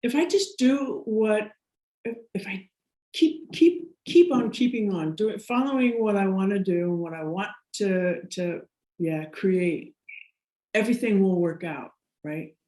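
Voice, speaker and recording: A middle-aged woman with an American accent, talking at a mid pitch in a room with plenty of echo. She rambles through her stories, restarting sentences and laughing often, in an easy conversational way. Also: animated, unhurried, mellow. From United States. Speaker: guest. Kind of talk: casual interview storytelling. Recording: roomy echo.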